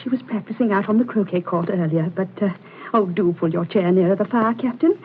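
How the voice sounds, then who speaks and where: A woman's voice: medium pitch, brisk, close to an everyday voice. An actress playing an anxious, polite middle-aged mother and hostess with a hesitant, genteel manner in a 1940s radio drama style. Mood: nervously polite. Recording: noisy, tinny 1940s radio recording.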